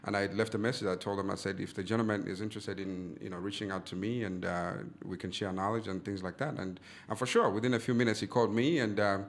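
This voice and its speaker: A man from Zambia, a guest on a studio talk show, telling a story in a relaxed, gracious way. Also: on the low side, fast, few pauses. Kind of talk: casual interview chat. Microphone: studio mic.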